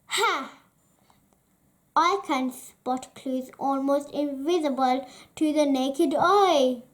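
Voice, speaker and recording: Woman putting on a high, excitable grumpy storybook burglar. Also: slow pace. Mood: excited, self-questioning. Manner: exclamatory, dramatic, self-questioning.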